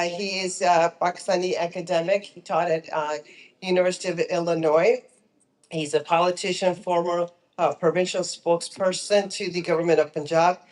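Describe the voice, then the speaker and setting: A woman introducing the next speaker at an event, hesitant and pausing with fillers. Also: fairly low pitch, darkish tone. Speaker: event presenter.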